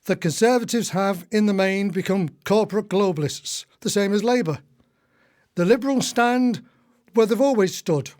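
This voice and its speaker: Actor as exasperated retired husband, ranting. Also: male voice, high voice, moderate pace, darkish tone, lightly acted. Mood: resigned, dry. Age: older. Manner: long-winded, indignant. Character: retired architect husband, disillusioned old-fashioned socialist, ranting and exasperated. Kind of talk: radio play acting.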